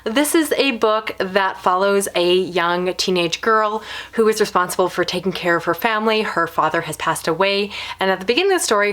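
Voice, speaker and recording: A woman's voice: on the low side, a bit bright. A woman reviewing books in a casual video style, talking in long, considered explanations. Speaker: book reviewer.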